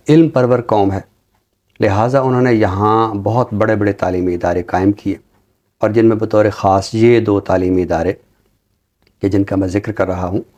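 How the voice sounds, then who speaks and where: A man's voice: on the low side, warm tone, lo-fi sound. A middle-aged Pakistani man hosting a talk show, giving conversational literary commentary in formal, carefully chosen words. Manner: formal, deliberate word choice. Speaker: talk show host, university teacher.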